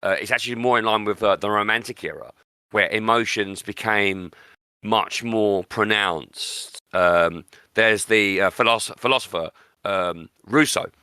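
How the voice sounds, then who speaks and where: A man's voice: lowish voice, a little slow, bright, forceful tone, clear, resonant tone, no room sound, clean studio sound. A man hosting a podcast, discussing books in an opinionated, emphatic way and repeating words for stress. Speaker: podcast host.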